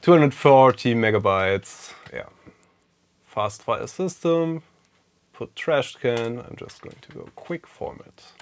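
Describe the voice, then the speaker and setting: A man's voice: medium pitch, animated, takes it slowly. A German man, native German speaker, hosting a casual retro computer walkthrough, thinking aloud with many fillers. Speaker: retro computing video host. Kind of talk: casual hands-on tech walkthrough.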